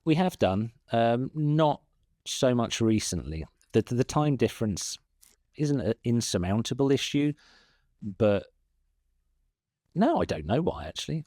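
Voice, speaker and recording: A man's voice: medium pitch, sing-song, moderate pace, dark tone, dry recording, studio quality. A man with a British accent, answering interview questions in a rambling, self-deprecating way, often laughing at his own remarks. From England. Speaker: guest, SEO consultant.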